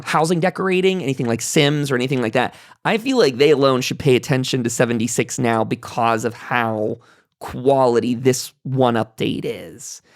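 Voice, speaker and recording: Podcast host for a casual game roundtable, gravelly fry, effusive and emphatic delivery. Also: mid-range voice. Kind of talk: casual enthusiastic panel discussion about a video game.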